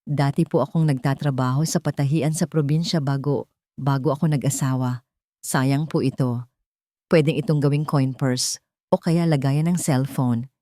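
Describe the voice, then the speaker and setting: A woman's voice: rumbling low, steady tone, normal speed, mellow, dry recording, studio quality. A woman with a Filipino accent voicing a desperate, humble young mother with a pleading, deferential manner, in a narrated story. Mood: earnest.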